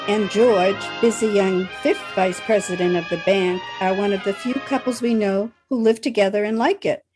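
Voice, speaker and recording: A woman narrating a scripted radio show as announcer, in a measured, formal manner. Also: medium pitch, a little mellow.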